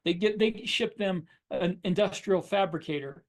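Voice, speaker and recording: A man's voice: high voice, normal speed. A man chats casually as a podcast co-host. He explains things in a wry, conversational way, as one writer talking shop with another. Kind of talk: casual shop-talk chat.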